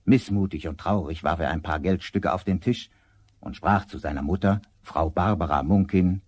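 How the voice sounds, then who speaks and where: A man narrating a fairy tale as a storyteller in a measured, scripted 1970s audio drama style. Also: deep voice, darkish tone, a little hiss or hum. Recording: vinyl record transfer with music.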